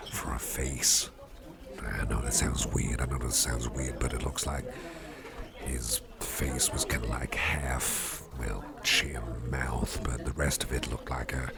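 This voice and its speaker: Man acting as kindly rambling office boss, ASMR roleplay, rumbling bass, heavy vocal fry, breathy murmur, hesitant and affectionate. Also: exaggerated voice. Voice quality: very deep, gravelly, breathy half-whisper. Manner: hesitant, repetitive, gently rambling. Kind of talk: ASMR roleplay, intimate personal attention. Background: music.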